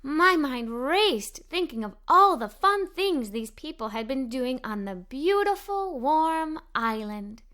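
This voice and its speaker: A woman's voice: high-pitched, sing-song, takes it slowly, hardly any pauses, a bit bright. A woman reading a children's story aloud, expressively, as a cheerful young girl narrator. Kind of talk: scripted read-aloud storytelling for kids.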